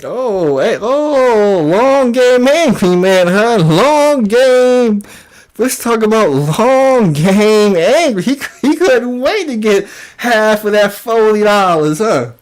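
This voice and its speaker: A man's voice: high-pitched, animated, slow. A man hosting an internet show with mocking reaction commentary, emphatic and repetitive in a taunting way.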